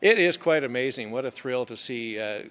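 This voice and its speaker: A man's voice: mid-range voice, expressive, normal speed, a bit bright, slight background noise. A man giving a conversational interview as the creator of a stage show.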